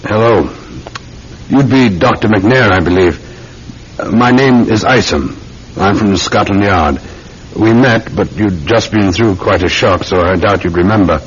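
An actor playing a polite Scotland Yard police sergeant in a radio drama, with a formal, probing manner. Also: male voice, fairly low pitch, unhurried, lightly acted. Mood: courteous, probing. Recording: muffled, noisy vintage-style radio recording.

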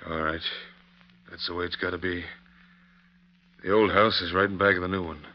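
An actor playing a gruff, suspicious ranch foreman with blustering cowboy talk in an old radio western. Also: low-pitched, steady tone, moderate pace, lightly acted. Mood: grudging, wary. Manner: blustering, folksy cowboy speech. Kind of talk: 1940s western radio acting. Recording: dull vintage radio recording with noise.

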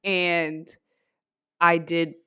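A woman's voice: on the low side, little pitch movement, extremely slow, bright, forceful tone, smooth. Blunt, salty young woman co-host. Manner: blunt and profane, with lots of fillers and quoted reactions. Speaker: podcast co-host. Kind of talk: candid casual chat.

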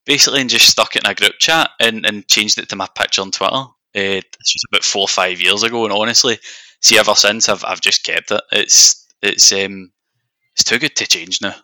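A man's voice: on the low side, brisk, bright, forceful tone, no room sound, low-quality recording. A young man with a Scottish accent, speaking as a podcast guest and football fan in casual chat. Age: young adult.

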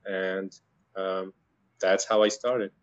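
A man from Syria, a native Arabic speaker, answering interview questions in a measured, thoughtful way with frequent pauses and restarts. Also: low-pitched, level tone, clear, resonant tone, dry recording, dull audio. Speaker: guest, internal medicine resident.

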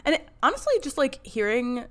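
Relaxed chatty woman podcaster. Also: a little high, fairly quick. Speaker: podcast co-host. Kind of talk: casual chat and scripted recap narration.

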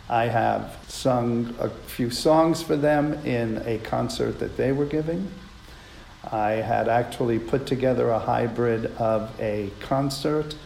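A man's voice: mid-range voice, gravelly, a little mellow, echoey room, slight background noise, low-quality recording. A man earnestly telling a reflective personal story on a radio show.

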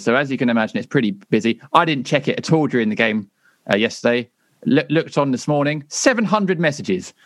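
A tired man, hosting a casual podcast chat with quick asides and easy laughs. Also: mid-range voice, dry recording, clean studio sound. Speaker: podcast host.

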